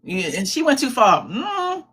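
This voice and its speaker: Bright-voiced woman hosting live commentary. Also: high voice, sing-song, unhurried, breathy edge. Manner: chatty, calls viewers "babies", repeats herself for emphasis. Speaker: commentary livestream host.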